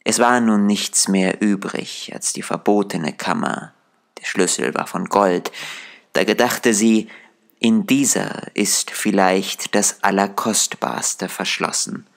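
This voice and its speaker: A male storyteller reads a dark fairy tale from a script. His voice is lowish and creaky, and he takes his time, measured and deliberate.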